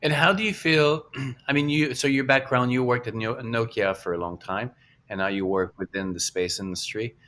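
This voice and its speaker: A man's voice: medium pitch, moderate pace, sharp tone, slight background noise. A man hosting a casual podcast interview, asking long, rambling questions with restarts. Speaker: podcast host.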